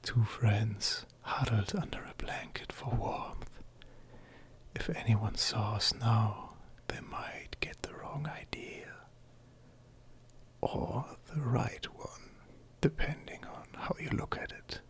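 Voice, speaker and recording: A man's voice: fairly low pitch, slow pace. Man acting a whispering, affectionate furry companion. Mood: coy, cozy. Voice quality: breathy, whispery. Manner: soft, flirtatious, hesitant. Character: affectionate, teasing furry male friend with soft fur. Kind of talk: intimate roleplay ASMR.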